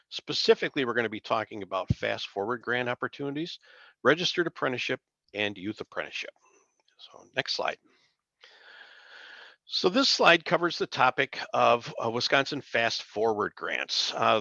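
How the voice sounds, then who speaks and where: A man giving a formal slide presentation on a conference call, reading carefully with occasional halting self-corrections, over dull lo-fi call audio. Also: mid-range voice, bright, forceful tone, no room sound. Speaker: workforce agency presenter.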